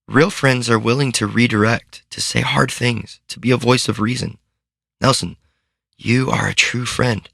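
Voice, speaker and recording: A man voicing a kind, loyal young girl who speaks to her friend in a warm, heartfelt way. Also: mid-range voice, vocal fry, no echo, studio quality, voice pushed up.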